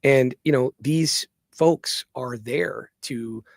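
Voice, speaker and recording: A man's voice: mid-range voice, slow, vocal fry, no room sound, clean studio sound. A man giving conversational, emphatic interview answers as a company co-founder, often checking in with "right?". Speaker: company co-founder, podcast guest.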